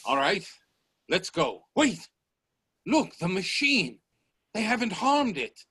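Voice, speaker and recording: A man's voice: high voice, very animated, slow pace, sharp tone, husky, no echo, clean studio sound, exaggerated voice. A man acting a bold, urgent professor and inventor in a radio play, with a commanding, emphatic delivery. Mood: determined.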